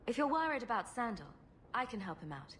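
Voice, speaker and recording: An actress playing a sarcastic young rogue heroine in a video game, dry and teasing. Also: female voice, a little high, sing-song, brisk, a bit bright, a little hiss or hum. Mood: curious, wry. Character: wry, sarcastic young woman rogue hero. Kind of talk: video game character acting.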